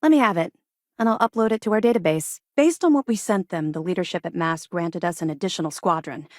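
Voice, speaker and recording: A tough female space officer from a video game , played by an actress, speaks in a lowish voice with a dry, close sound. She is dutiful and brisk, earnest but wryly informal, like an ex-pirate turned soldier. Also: dry recording, lightly acted. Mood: businesslike. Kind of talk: video game character dialogue.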